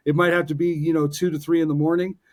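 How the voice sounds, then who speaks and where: A man's voice: fairly high pitch, little pitch movement, fast talker. Upbeat, joking man in his forties. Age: 40s. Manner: upbeat and joking, with many fillers and restarts. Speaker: podcast co-host. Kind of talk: casual podcast chat.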